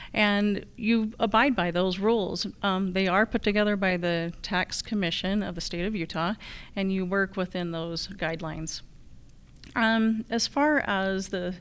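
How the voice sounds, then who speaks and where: A woman's voice: medium pitch, smooth. A woman with an American accent giving plain-spoken, conversational answers as a candidate at a forum. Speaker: candidate for local assessor.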